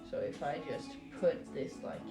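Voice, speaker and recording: A woman from Norway, chatting casually to live stream viewers in a hesitant, rambling, playful way. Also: moderate pace. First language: Norwegian. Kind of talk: casual chat with stream viewers while crocheting. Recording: roomy echo with music behind. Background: music.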